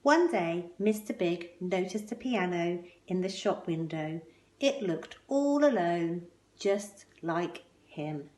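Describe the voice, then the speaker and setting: Woman, storybook read-aloud, slow pace, emphatic phrasing, pointed pauses, echoey room. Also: fairly low pitch, lively intonation, mellow, slight background noise. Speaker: storyteller reading a picture book aloud. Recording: roomy, echoing indoor sound.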